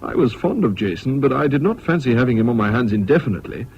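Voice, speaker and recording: An actor with a British accent playing a weary, reserved narrator in a 1970s radio drama, speaking in a measured, reflective way. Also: male voice, mid-range voice, animated, close to an everyday voice. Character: weary, concerned friend and narrator, a reserved man. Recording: dull, noisy old radio recording.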